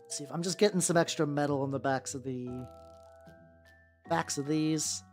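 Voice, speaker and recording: A woman's voice: low voice, normal speed, darkish tone. A woman streaming casually as a VTuber, chatting loosely and swearing freely while she paints miniatures and answers her viewers. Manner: rambling, chatty and profane, reacting to chat. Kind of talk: casual livestream chat. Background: faint background music.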